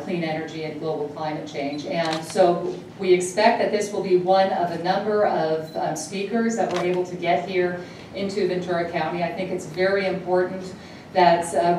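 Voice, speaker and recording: A woman whose first language is Dutch, a state assemblymember formally introducing a guest speaker, halting with frequent filler sounds and restarts. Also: lowish voice, a little mellow. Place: university event hall. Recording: echoey hall, distant mic, noisy.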